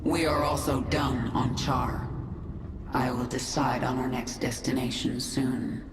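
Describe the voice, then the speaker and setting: A woman's voice: rumbling low, flat delivery, measured pace, background noise. A woman playing a cold, commanding, vengeful queen of an alien swarm in a video game, stern and authoritative. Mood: resolute. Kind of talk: dramatic video game acting. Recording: echoing effect, under loud music.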